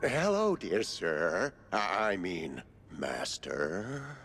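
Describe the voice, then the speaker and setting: An actor playing an eccentric, servile lab caretaker, theatrical and rambling, in a cartoon game. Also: male voice, low-pitched, very animated, extremely slow, gravelly, over music, heavily acted. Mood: servile, playful. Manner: theatrical, babbling, obsequious.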